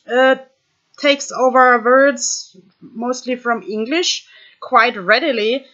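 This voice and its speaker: A young woman with a German accent, speaking English to her webcam in a halting, thoughtful way with many fillers. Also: on the high side, fairly bright tone. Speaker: vlogger. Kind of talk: casual talk to camera. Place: small room indoors. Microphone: webcam at desk. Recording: dull lo-fi webcam audio.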